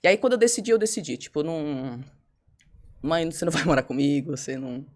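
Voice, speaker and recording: Fast-talking woman telling her story. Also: deep voice. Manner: fast, animated, acts out her own past words. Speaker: podcast guest. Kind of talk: candid personal storytelling.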